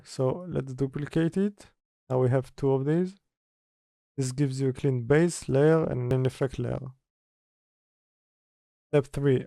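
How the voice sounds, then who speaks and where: A man presenting an informal software tutorial, halting as he reads steps from notes and mutters through them. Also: medium pitch, gravelly, dry recording, studio quality. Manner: halting, mutters step by step while working. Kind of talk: informal screen-recorded software tutorial, partly read from notes.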